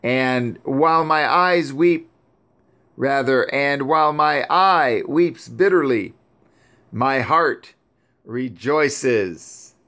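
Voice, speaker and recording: A man's voice: mid-range voice, very slow, pushed and bright, full character voice. A man reading the part of a grieving elderly mother, lamenting and pleading over her son. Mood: mournful.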